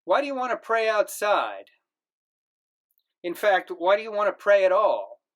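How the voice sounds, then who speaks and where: A man's voice: sits high, big pitch swings, unhurried, a bit bright, voice pushed up, full character voice. Man reading as a brusque, puzzled father. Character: stern bewildered middle-aged Indian father.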